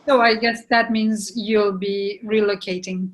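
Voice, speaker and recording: A woman's voice: mid-range voice, fairly even intonation, measured pace, close to an everyday voice, rough recording. A woman playing a distressed youthful woman voicing her text messages in a play reading. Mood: uneasy.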